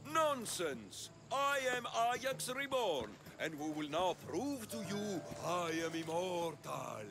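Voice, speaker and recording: High, pompous deluded would-be hero. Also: male voice, big pitch swings, takes it slowly, over music, full character voice. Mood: grandiose. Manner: pompous, theatrical boasting. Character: deluded, vain villager who believes he is a reborn immortal hero. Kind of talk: video game cutscene acting.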